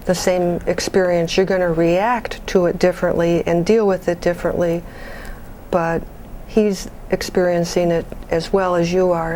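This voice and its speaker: A woman playing a patient bereavement counselor on a support call, gentle, measured and reassuring in the way she talks. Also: on the low side, a little hiss or hum, natural voice, rough recording. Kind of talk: role-played counseling phone conversation.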